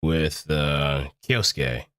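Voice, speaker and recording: A man streaming a casual tutorial, explaining things step by step in a deliberate, halting way with emphatic repetition. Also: extremely low pitch, fairly bright tone, smooth, dry recording, studio quality.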